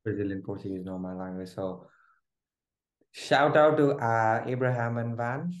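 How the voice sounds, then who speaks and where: A man telling a lively personal story as a guest in a casual interview, often laughing as he speaks. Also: medium pitch, normal speed. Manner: animated, laughing, restarts while telling a story. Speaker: guest, groom-to-be. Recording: roomy echo.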